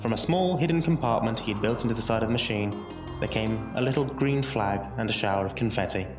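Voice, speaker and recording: Narrator for audio drama: male, lowish pitch, theatrical measured delivery, roomy sound over a music bed. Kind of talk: dramatic scripted storytelling narration. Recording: roomy echo under music.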